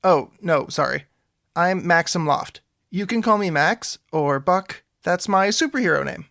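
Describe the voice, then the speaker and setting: Actor as earnest young sidekick, dry close sound. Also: male voice, high voice, lively intonation, measured pace, gravelly, sharp tone, no room sound, full character voice. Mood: awkward, friendly. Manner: polite, eager, rambling explainer. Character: earnest, awkward young male superhero sidekick with antlers. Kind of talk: scripted audio drama dialogue.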